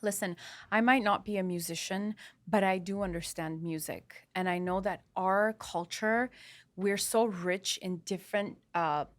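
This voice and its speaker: A woman's voice: mid-range voice, fairly even intonation, measured pace. A woman hosting a podcast interview, chatting casually and encouragingly, often restarting her sentences. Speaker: podcast host.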